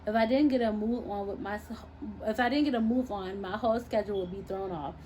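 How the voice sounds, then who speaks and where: A woman reading her own novel aloud as expressive first-person narration. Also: medium pitch, brisk, muffled recording, a little hiss or hum.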